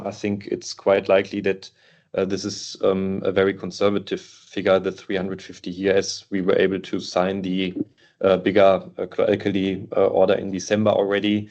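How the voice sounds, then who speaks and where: Low, gravelly man on dull call audio. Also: a little mellow. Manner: hesitant, many uhs. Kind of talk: earnings call answers.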